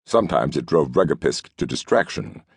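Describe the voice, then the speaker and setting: A man narrating an audiobook novel in a scripted storytelling style, with a gravelly voice. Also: very deep voice, expressive, brisk, no room sound, studio quality.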